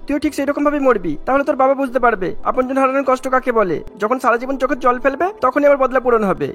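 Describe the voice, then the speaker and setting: A woman's voice: high voice, animated, racing, soft background music. A woman voicing a vengeful male bandit chief in a cartoon, harsh and threatening. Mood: menacing. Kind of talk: cartoon voice acting.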